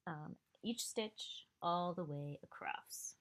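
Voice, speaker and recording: A woman's voice: lowish voice, takes it slowly, fairly bright tone. A woman with a nasal voice from a cold, giving a casual step-by-step knitting tutorial, counting stitches aloud with many fillers. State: sick and nasal. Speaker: knitting instructor.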